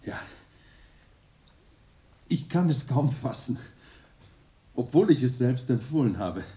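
An actor playing a deferential envoy of a lamasery in a radio drama, speaking formally and deliberately. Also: male voice, medium pitch, big pitch swings, mellow. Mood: awed, formal.

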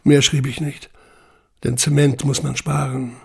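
A man's voice: mid-range voice, flat delivery, unhurried, creaky, a little hiss or hum. A man delivering scripted first-person literary narration in an intense, brooding manner.

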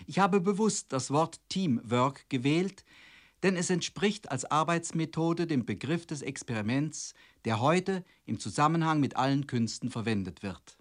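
A man delivering a formal scripted radio lecture in the style of the late 1960s, in a measured, emphatic and rhetorical manner. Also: on the high side. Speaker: head of radio drama department introducing a play.

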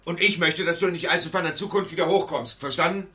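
A man playing a calm, mediating father in a German audio drama, firm when needed. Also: on the high side, fast.

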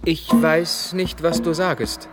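A man's voice: fairly high pitch, steady tone, takes it slowly, over loud music. An actor playing a frightened man in denial, in a radio drama. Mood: fearful, defensive. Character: frightened man denying his master.

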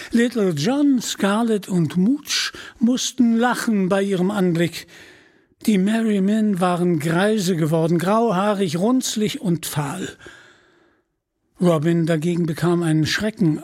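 Husky, high-pitched man narrating a story. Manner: measured, expressive storytelling. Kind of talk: scripted dramatic story narration for a radio play.

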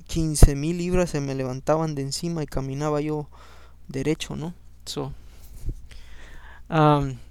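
A man's voice: on the high side, darkish tone, clean tone, dry recording. A man whose first language is Spanish, hosting a Bible reading podcast. He reads scripture and adds personal commentary in a conversational way, often ending with a questioning "no?". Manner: conversational, keeps adding a questioning "no?"; frequent fillers and restarts.

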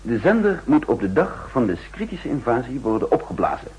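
An actor playing a shrewd, insistent counter-espionage chief in a 1960s radio drama, speaking formally and emphatically with a husky voice. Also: male voice, medium pitch, very animated, fairly quick, a little mellow. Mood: urgent, confident. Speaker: narrator and main character. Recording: muffled old 1960s radio recording.

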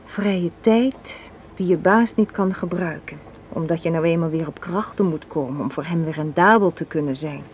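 A woman's voice: fairly low pitch, normal speed, natural voice. Actress as cynical, assertive woman in her twenties, radio drama, articulate and argumentative; dull, hissy old recording. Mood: bitter, lecturing. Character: assertive, cynical young woman arguing against a marriage proposal. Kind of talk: radio play acting.

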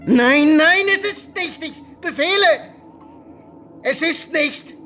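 A man playing a guilt-tormented villainous nobleman losing his mind in a German radio drama, feverish and erratic, moving between hushed trembling and frantic cries. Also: very high-pitched, slow, faint music behind, exaggerated voice. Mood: defiant, fearful. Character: guilt-tormented nobleman villain, terrified, raving, descending into madness. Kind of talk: German radio drama acting, theatrical classical monologue.

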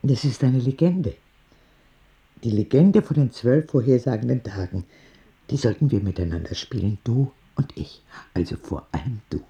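A man playing a kindly old woman visiting a hospital in an audio drama, speaking in a gentle, measured, reassuring way. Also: medium pitch, expressive, lightly acted. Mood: patient, storytelling.

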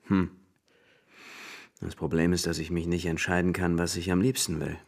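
A man's voice: sits low, flat delivery, moderate pace, creaky. An actor playing a self-doubting, frustrated young newspaperman in a German radio play, wry and emphatic.

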